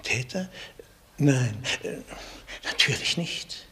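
An actor playing a nervous, obsequious informant in a German crime radio play, hesitant and deferential. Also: male voice, mid-range voice, expressive, slow, sharp tone, husky, heavily acted. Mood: nervous, evasive. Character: nervous, obsequious male informant and would-be blackmailer.

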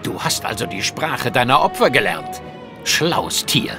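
A man's voice: medium pitch, sing-song, measured pace, loud music behind the voice. A man playing a coolly determined Victorian detective in a dramatic audio play. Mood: cool defiance.

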